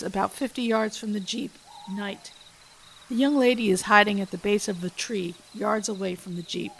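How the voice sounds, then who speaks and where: Woman, script read-aloud, steady narration, gravelly voice, faint hiss. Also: mid-range voice, low-quality recording. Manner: reads stage directions steadily. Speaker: podcast host reading her own script.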